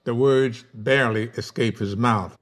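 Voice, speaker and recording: A man's voice: mid-range voice, fairly even intonation, measured pace. A man reading out stage directions as narrator of a live script table read.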